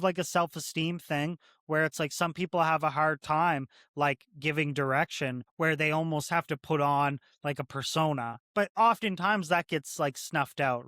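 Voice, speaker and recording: A man's voice: on the high side, fairly bright tone, no echo, clean studio sound. Chatty man full of "like" and "right?". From Canada. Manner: rambling, many "like" and "right?" fillers. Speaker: corrections officer guest. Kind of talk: casual interview conversation.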